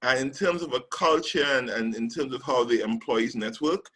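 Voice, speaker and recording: A man from Trinidad and Tobago, a recruitment specialist, giving a measured webinar presentation with frequent ums and pauses over a call microphone. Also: medium pitch, fairly bright tone, husky.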